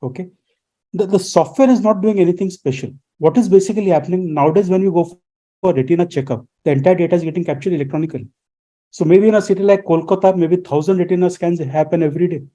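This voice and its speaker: A man's voice: sits high, fast, dark tone. A man, a guest explaining things in a radio interview and posing rhetorical questions as he lectures. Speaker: guest expert.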